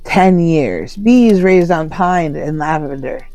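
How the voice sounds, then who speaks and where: A woman voicing a humble, stubborn young male beekeeper in a folktale, with a plain, measured, earnest delivery. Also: low voice, animated, dark tone. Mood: earnest, steady. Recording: roomy echo under faint music.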